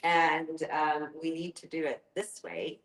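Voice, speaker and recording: Woman, low pitch, unhurried halting delivery, conversational moderator, roomy echo. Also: little pitch movement. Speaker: discussion moderator. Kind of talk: conversational, posing questions to a group.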